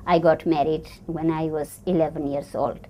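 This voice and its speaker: A woman from northern Pakistan speaking in a recorded interview, with many pauses and fillers. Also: deep voice, little pitch movement, mellow. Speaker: program participant.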